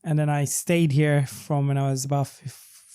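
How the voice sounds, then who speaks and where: A man's voice: a little high, flat delivery, moderate pace, warm tone, clean tone. A man in his late thirties from Sri Lanka, a podcast guest in casual conversation who often stumbles and repeats words before finishing a thought. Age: 30s. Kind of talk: casual interview chat.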